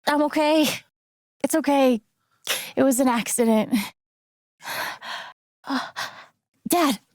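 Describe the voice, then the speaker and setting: A woman's voice: sits high, slow pace, darkish tone, dry recording, clean studio sound. A woman voicing an earnest young woman in a video game, heartfelt and hesitant, with raw emotion in a naturalistic dramatic style. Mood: anxious, pleading.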